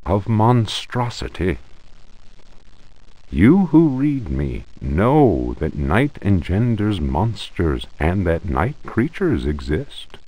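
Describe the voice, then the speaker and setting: An actor playing a grave reader of an accursed tome in a video game, solemn, ominous and theatrical. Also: male voice, deep voice, very animated, takes it slowly, mellow, exaggerated voice, low-quality recording. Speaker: narrator. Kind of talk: theatrical reading of an ancient occult book.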